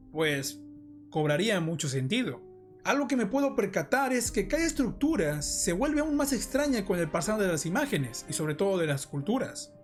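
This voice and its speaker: A commentator explaining and speculating in an analysis of a horror video, addressing viewers directly. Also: mid-range voice.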